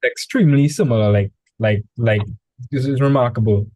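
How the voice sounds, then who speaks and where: A man with a Trinidadian accent tutoring a student in math over a video call, relaxed and chatty. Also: mid-range voice, fairly quick, dry recording. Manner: relaxed, chatty, repeats words when starting. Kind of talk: casual online tutoring session.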